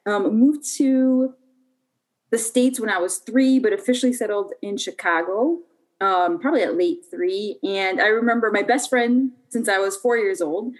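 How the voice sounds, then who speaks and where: A woman's voice: mid-range voice, normal speed, rough recording. Woman, young adult, podcast guest, casual storytelling, chatty with fillers. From Puerto Rico. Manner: chatty, many fillers and restarts.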